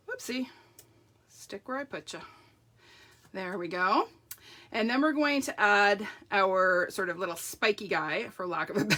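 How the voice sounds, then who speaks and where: Woman, middle-aged, croaky throat; chatty, self-deprecating crafter hosting a live stream, works as she talks. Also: medium pitch, sharp tone. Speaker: card-making demonstrator. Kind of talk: live craft tutorial with viewer shout-outs.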